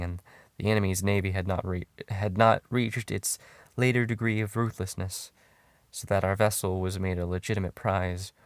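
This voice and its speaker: A man giving a dramatic horror story narration in a brooding, halting way with pauses. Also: lowish voice, no room sound. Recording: music under the voice. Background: music.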